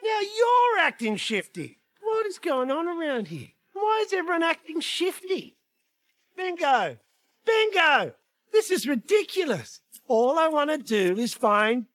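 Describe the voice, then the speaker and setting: An actor playing a playful cartoon dad with an Australian accent, talking and telling stories in a colloquial, comic way. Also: male voice, sits high, animated, moderate pace, fairly bright tone, dry recording. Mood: exasperated. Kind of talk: animated, colloquial comic storytelling.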